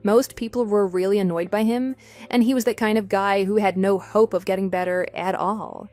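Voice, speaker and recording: Woman acting an exasperated but loyal wife in a radio drama, middle pitch, music under her. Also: fairly even intonation, normal speed, darkish tone, natural voice. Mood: curious. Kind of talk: scripted dialogue in an online radio drama. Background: background music.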